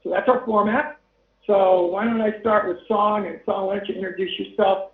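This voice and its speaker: A man's voice: high-pitched, steady tone, normal speed. A man hosting a webinar, chatting casually with many fillers. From Northern Colorado. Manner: chatty, rambling, lots of fillers. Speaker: webinar host. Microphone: video call. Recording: tinny phone-like line, roomy echo.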